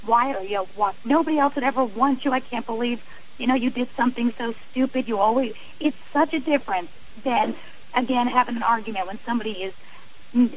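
Woman, fairly high pitch, emphatic, earnest interview guest, explanatory, faint noise. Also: fairly quick, poor audio. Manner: earnest, emphatic, explains with examples and repeated phrasing. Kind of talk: radio interview, personal and advisory talk.

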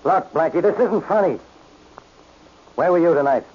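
On a muffled old radio recording, an irritable police inspector with a rough, husky, fairly high voice. He barks bluntly and with lively swings, exasperated in classic 1940s detective-show fashion. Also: normal speed.

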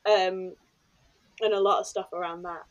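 A woman's voice: fairly low pitch, fairly even intonation, normal speed, lo-fi sound. A woman, a podcast host and former maths teacher, chatting eagerly and filling her talk with "kind of" and "like". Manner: chatty and enthusiastic, with many fillers like "kind of" and "like". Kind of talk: casual chat.

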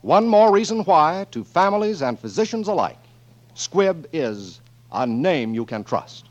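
A man's voice: on the high side, sing-song, unhurried, lo-fi sound, noisy background. An American man making scripted 1940s radio announcements in a formal, emphatic manner. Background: music.

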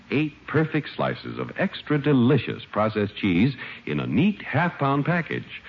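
A man's voice: fairly low pitch, breathy edge. A man announcing in 1950s radio style, reading advertising copy and narration with emphatic, polished salesmanship. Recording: muffled old radio recording.

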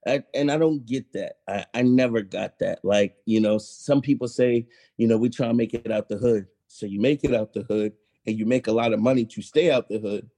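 A young man, a musician guest on a podcast, speaking in a relaxed, matter-of-fact way. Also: medium pitch. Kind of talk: casual interview chat.

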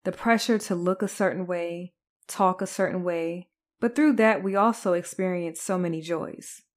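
A woman's voice: fairly low pitch, normal speed. A woman podcast host delivering scripted true crime narration.

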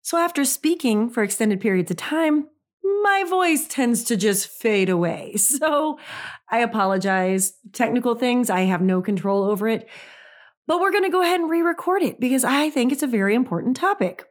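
A woman's voice: a little high, sing-song, moderate pace. A woman, hosting her own podcast in a casual, chatty way, laughing often. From Midwest United States. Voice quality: raspy. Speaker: podcast host. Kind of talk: casual solo podcast chat.

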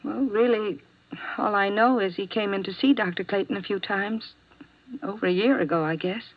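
An actress playing a weary, guarded doctor's employee in a 1950s radio drama, speaking hesitantly with an American accent. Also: female voice, medium pitch, moderate pace, lightly acted. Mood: reluctant. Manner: hesitant, evasive, sighing. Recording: dull, dry 1950s radio recording.

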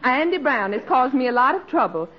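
A woman's voice: high voice, big pitch swings, brisk, noisy background. An actress playing a stern, aggrieved, determined woman in 1940s radio comedy, on a muffled old recording.

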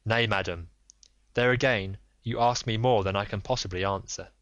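A man voicing a reserved Victorian male detective who speaks in a measured, formal and guarded way. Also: lowish voice, fairly even intonation, a bit bright, dry recording, lightly acted. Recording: dull lo-fi dry recording.

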